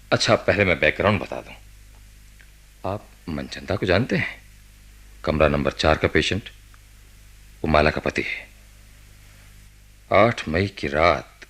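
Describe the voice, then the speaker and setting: A senior hospital doctor in a radio play. His voice is very low and gravelly, with a husky grain. He talks deliberately and with authority, pausing to think as he goes. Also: fairly bright tone, heavily acted. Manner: deliberate, authoritative, with thoughtful hesitations. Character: male senior hospital doctor, methodical, commanding, preoccupied. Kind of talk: radio drama acting.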